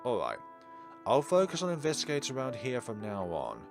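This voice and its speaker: A man's voice: medium pitch, wildly swooping pitch, brisk, vocal fry, voice pushed up. A man voicing an earnest, encouraging reporter in an emphatic, theatrical read-aloud of a visual novel. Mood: earnest, reassuring. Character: earnest young male reporter, self-reflective, encouraging his colleague. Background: game music.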